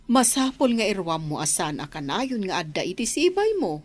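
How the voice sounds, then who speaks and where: A woman's voice: medium pitch, big pitch swings, normal speed. Woman doing an ilocano mom, concerned and naggy, really up and down voice, comedy radio drama. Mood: concerned, scolding. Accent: Filipino, Ilocano. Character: concerned, nagging village mother.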